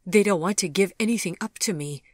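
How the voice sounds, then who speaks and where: A woman's voice: fairly low pitch, fairly quick, no echo, clean studio sound, pitched above the performer's own voice, natural voice. A woman voicing a remorseful, tormented male monster lover in an audiobook, pleading and intense. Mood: confessional.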